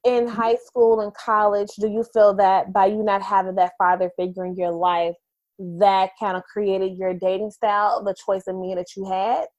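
A woman's voice: mid-range voice, little pitch movement, normal speed, a little mellow. A woman hosting her show, asking questions in a chatty, playful casual interview. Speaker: podcast host.

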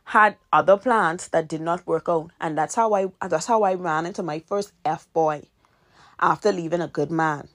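A woman's voice: lowish voice, moderate pace, fairly bright tone. Earnest young woman giving podcast advice. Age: 20s. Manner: earnest, repeats key points for emphasis. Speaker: podcast host and author. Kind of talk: casual advice monologue.

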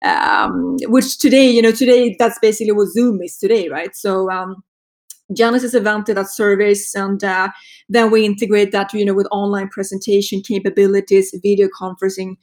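A woman in her fifties from Sweden with a Swedish accent, a marketing executive telling her story in a relaxed interview, full of fillers and restarts. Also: mid-range voice, moderate pace. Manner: hesitant, many fillers and restarts. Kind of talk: casual interview talk.

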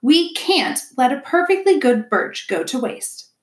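Woman as a brisk beaver wife, raised pitch. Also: echoey room, close to an everyday voice. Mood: brisk, scolding. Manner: scolding yet courteous. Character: brisk, sensible, bossy female beaver wife.

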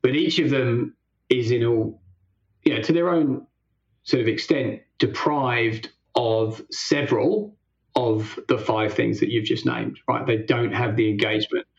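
A man's voice: medium pitch, fairly even intonation, measured pace, highs cut off. A man interviewing a guest, rambling and hedging with many fillers as he builds up to his question. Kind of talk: conversational interview.

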